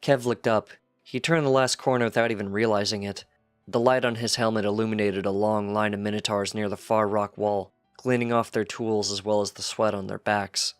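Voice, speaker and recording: Low-voiced man narrating a story. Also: little pitch movement, moderate pace, clean tone, dry recording, soft background music. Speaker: narrator reading fan fiction.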